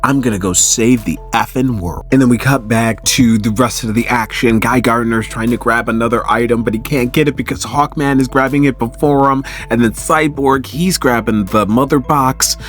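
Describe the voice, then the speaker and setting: A man hosting a recap in casual commentary, telling the story in an animated, conversational way. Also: mid-range voice, moderate pace, few pauses, soft background music. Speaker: host of a comic book recap channel.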